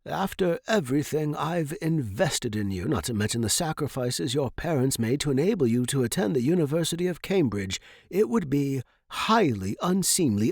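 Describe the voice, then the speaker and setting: A man's voice: on the high side, darkish tone, husky, dry recording, clean studio sound, pitched above the performer's own voice, heavily acted. A man voicing a stern, pompous Victorian academic mentor who speaks formally and admonishingly, as in an audiobook.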